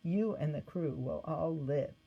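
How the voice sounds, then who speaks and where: A woman voicing a calm, reassuring angel in a storybook, plain and encouraging. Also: sits low, measured pace, creaky, lo-fi sound, heavily acted.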